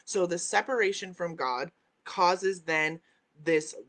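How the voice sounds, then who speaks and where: A woman's voice: on the low side, slow pace, a bit bright. Woman, host, spiritual teacher, emphatic, repetitive, checks in with "right?", at home. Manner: emphatic, repeats key phrases, tags lines with "right?"; reads out and answers viewer comments. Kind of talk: spiritual teaching on a live stream. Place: at home by a window, with dogs in the house.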